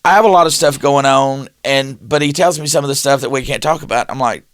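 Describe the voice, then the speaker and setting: A man's voice: mid-range voice, quick pace, clean tone, no echo, studio quality. A man, telling stories in casual chat and acting out the dialogue as he goes. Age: 50s. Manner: animated storyteller who acts out quoted dialogue.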